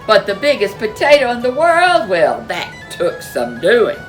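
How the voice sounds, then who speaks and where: A woman's voice: mid-range voice, huge pitch swings, a little slow, soft background music, voice pushed up. A woman voicing a boastful, lazy farmer in a storybook folk tale. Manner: proud, bragging.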